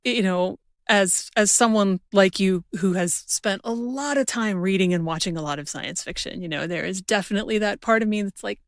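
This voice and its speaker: A woman's voice: mid-range voice, moderate pace, hardly any pauses, no room sound, clean studio sound. A woman hosting a podcast, musing aloud in a casual, conversational interview style. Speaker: podcast host.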